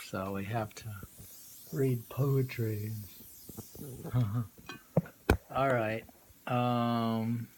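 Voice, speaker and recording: A man reading poetry aloud with casual commentary, halting and often repeating words and phrases. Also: lowish voice, gravelly. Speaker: reader and host. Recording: noisy homemade recording.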